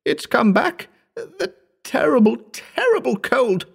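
A man's voice: extremely high pitch, sing-song, slow, gravelly, slightly rough, voice pushed up, full character voice. A man voicing a tormented, half-mad wounded man in terror, wailing shrilly and melodramatically in a horror audiobook. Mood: agonized. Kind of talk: dramatic horror audiobook character reading.

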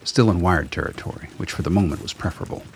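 A man's voice: sits low, fast, creaky, a little hiss or hum. A man reading scripted narration for a radio drama.

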